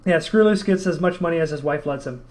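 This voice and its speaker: A man's voice: fairly high pitch, fast, a crowd in the background. A man hosting a casual podcast interview, chatty and a bit hesitant, talking like a keen fan. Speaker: podcast host.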